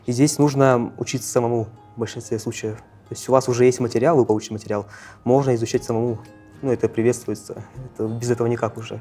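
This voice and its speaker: A man in his late teens speaks casually in an interview with a mellow, soft-edged tone. He halts and corrects himself as he goes. Faint music sits behind him. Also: medium pitch, fairly even intonation. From Russia, Yakutia. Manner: halting, self-correcting. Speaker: first-year university student. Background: faint background music.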